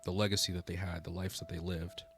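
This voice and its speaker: A man's voice: low-pitched, little pitch movement, fast. A man narrating a scripted documentary in a solemn, dramatic, suspenseful way. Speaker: video narrator, channel host. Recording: loud music under the voice. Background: loud music.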